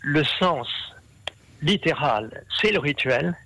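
A man's voice: a little high, expressive, slow, pushed and bright, rough recording. A man explaining ideas at length in an interview, with many fillers and restarts. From half Brazilian. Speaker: interview guest, scholar.

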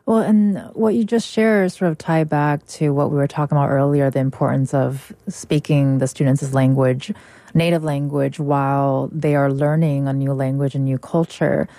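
Deep-voiced woman interviewer. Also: steady tone, warm tone, no room sound. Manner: measured, rephrases questions at length. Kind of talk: interview questions.

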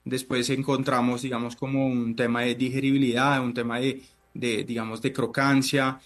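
A middle-aged man from Italy whose first language is Italian, a chef answering interview questions in a halting way, with many fillers and restarts. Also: medium pitch, flat delivery.

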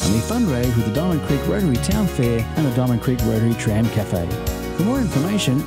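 A man reading a scripted community radio announcement in an upbeat announcer style. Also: medium pitch. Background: music bed.

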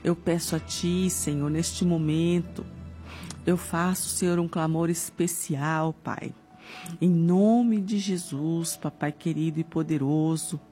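Brazilian woman, fervent prayer leader, warm dark tone, pleading exclamations, improvised evangelical prayer, quiet music underneath. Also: sits low, steady tone, slow pace. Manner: pleading, with repeated exclamations to God.

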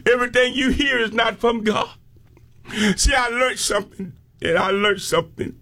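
A man's voice: very high voice, normal speed, sharp tone. A man with an American accent preaching a fervent sermon, often breaking off to exclaim praise in an impassioned way. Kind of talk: fervent sermon with call and response.

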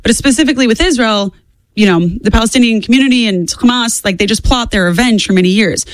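A young woman in her twenties talks politics as a show guest. Her voice sits a little high and moves very quickly, stressing points by repeating them. The sound is dry and close. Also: dry recording, rough recording. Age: 20s. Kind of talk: opinionated political talk.

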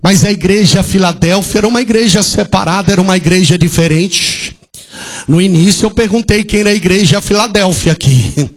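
A man's voice: high voice, fairly quick. A male pastor preaching an impassioned sermon at a church service, asking rhetorical questions and calling out hallelujahs.

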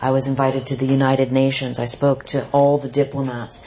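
Rich: An earnest woman author at a bookstore talk, restarting her thoughts and reflecting aloud. She speaks at a middle pitch. The recording is muffled, with faint noise underneath. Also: level tone, moderate pace, warm tone. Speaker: author and journalist. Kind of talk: book talk and audience Q&A. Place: bookstore event.

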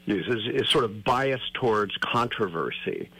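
A man's voice: lowish voice, lively intonation, measured pace, gravelly, bright, forceful tone, breathy edge, low-quality recording. A man writing a newsletter, co-hosting a casual chat, talking in a thoughtful, rambling way with lots of "you know". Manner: chatty, thoughtful, many fillers like "you know". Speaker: co-host, newsletter writer. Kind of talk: casual conversation.

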